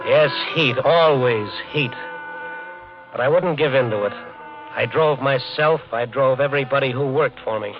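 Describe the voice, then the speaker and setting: An actor in an old-time radio drama playing a brash, driven American developer, fast-talking, slangy and cocksure. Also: male voice, mid-range voice, close to an everyday voice. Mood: grim narrating. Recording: muffled 1950 radio broadcast, faint music.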